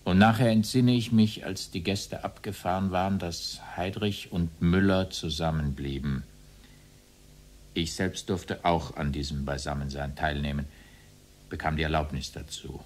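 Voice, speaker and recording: A man with a low voice testifies from memory. He is formal and deliberate, choosing stiff, official-sounding phrases. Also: steady tone. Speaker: witness under questioning.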